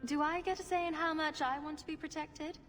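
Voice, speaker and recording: A woman's voice: sits high, fairly even intonation, fairly quick, a bit bright. A woman playing a sarcastic rogue adventurer in a video game, with a wry, flippant way of talking. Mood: wry, reassuring. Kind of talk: video game character acting. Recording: reverberant hall, music behind.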